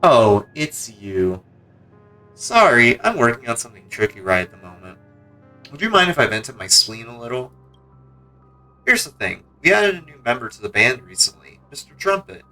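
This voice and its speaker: Man playing talking cello, aging female shopkeeper, chatty, game dialogue, bright voice. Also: medium pitch, very animated, normal speed, soft background music. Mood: confiding, fretful. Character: chatty, aging female floating cello, music shop keeper. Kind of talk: reading game dialogue aloud.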